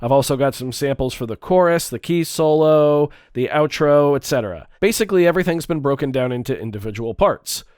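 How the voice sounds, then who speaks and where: A man with a stuffed-up nose, talking through a relaxed, chatty walkthrough of his music gear. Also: on the high side, brisk, hardly any pauses, no room sound, studio quality. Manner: relaxed, chatty, with fillers and small restarts. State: stuffed up, congested. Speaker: music gear video creator. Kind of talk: casual tutorial walkthrough.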